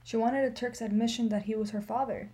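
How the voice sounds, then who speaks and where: A woman playing an elderly, wry and resolute aid worker in a radio play, speaking in measured aphorisms. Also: a little high, fairly even intonation, warm tone, natural voice. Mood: sombre, resolute. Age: older.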